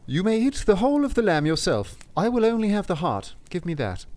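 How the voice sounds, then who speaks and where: Solemn elderly saint, read by a man; measured, stern, lively pitch.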